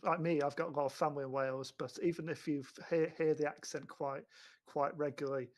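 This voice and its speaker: Stammering man, slightly higher voice. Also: steady tone, fast. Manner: stammering restarts, loose rambling explanations. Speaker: podcast co-host. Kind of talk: casual film podcast chat.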